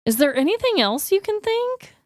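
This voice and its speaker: A woman co-hosting a podcast in casual comedic chat, with a playful, teasing and animated way of talking. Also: very high-pitched, normal speed, dry recording, studio quality. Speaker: podcast co-host.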